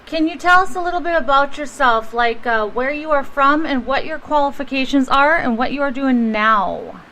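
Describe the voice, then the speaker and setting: A woman's voice: high-pitched, moderate pace, sharp tone, poor audio. A woman co-hosting a casual live radio interview, putting questions to a guest. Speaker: radio co-host. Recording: faint background noise.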